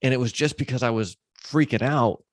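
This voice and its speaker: A man's voice: medium pitch, a little fast, dry recording, clean studio sound. A man hosting a conversational podcast interview, explaining his thoughts in a reflective way. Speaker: podcast host.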